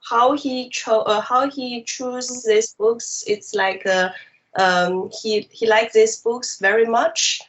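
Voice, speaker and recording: Slow hesitant woman, Mandarin accent. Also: on the high side, steady tone, highs cut off. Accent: Mandarin Chinese. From China. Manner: hesitant, many fillers, careful non-native English. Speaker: animation director guest. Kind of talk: remote interview answers.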